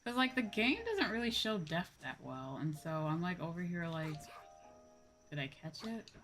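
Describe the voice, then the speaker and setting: A woman's voice: on the low side, animated, moderate pace, a bit bright, faint music behind. A woman livestreaming a game with casual commentary, chatting to viewers and rambling as she thinks aloud. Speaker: livestreamer playing a farming game.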